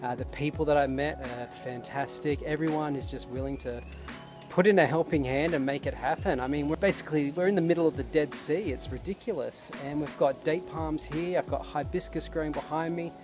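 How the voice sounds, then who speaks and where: A man's voice: on the high side, steady tone, a little fast. A man talking casually and earnestly to camera outdoors, reflecting with enthusiasm. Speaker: vlogger and permaculture intern. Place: outdoors at a desert garden site. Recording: loud music behind the voice.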